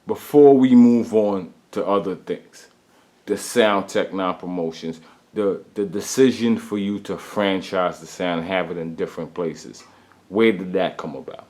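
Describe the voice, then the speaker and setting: A man's voice: deep voice, measured pace, darkish tone. A man interviewing a guest, asking questions casually and repeating words as he stammers.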